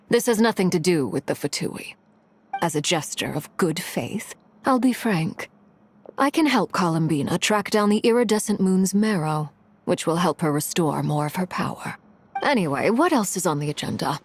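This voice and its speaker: A woman voicing a composed, guarded woman commander in a video game, speaking in a measured, diplomatic way. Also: lowish voice, husky.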